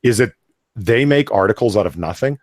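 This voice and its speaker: A man hosting a live online talk show, with a lively voice that rises and falls a lot. He swears casually and breaks into articles he reads aloud with mocking remarks. The sound is dry and close, with no room in it. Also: lowish voice, fairly quick, no echo. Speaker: podcast host.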